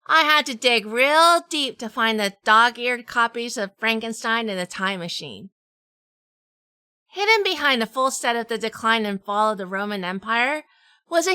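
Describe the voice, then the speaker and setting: A woman's voice: a little high, bright, forceful tone, clear, resonant tone, dry recording. A woman playing a sassy, tough, wisecracking private eye in a noir radio drama. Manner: wisecracking, feisty. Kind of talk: noir scripted narration with acted dialogue.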